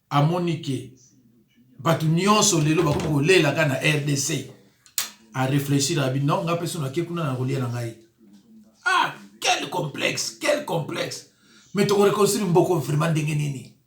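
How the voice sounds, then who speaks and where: A man's voice: a little high, animated, normal speed, roomy sound. A man, a pastor giving emphatic, indignant commentary on news clips. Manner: emphatic, indignant, with rising exclamations.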